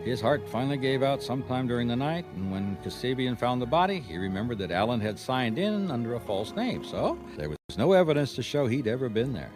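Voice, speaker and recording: A man acts out a persistent private eye from a radio mystery. His mid-pitched voice moves around a lot, measured yet wry, delivering dry wisecracks as he digs for answers. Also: sing-song, music in the background, natural voice. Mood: matter-of-fact, wry. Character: wry, persistent male private detective questioning people.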